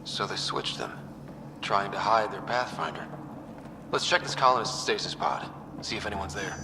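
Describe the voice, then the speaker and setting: An actor playing an earnest young space explorer and leader in a sci-fi game, casual but resolute. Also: male voice, fairly low pitch, expressive, moderate pace. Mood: concerned. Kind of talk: cinematic sci-fi game acting. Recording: clear background ambience noise.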